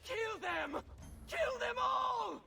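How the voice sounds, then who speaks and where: An actor voicing a cruel, arrogant young Italian noble villain in a video game, taunting and haughty. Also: male voice, very high-pitched, expressive, very slow, gravelly, pushed and bright, raised voice, faint music behind, heavily acted. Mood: furious. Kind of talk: video game cutscene acting.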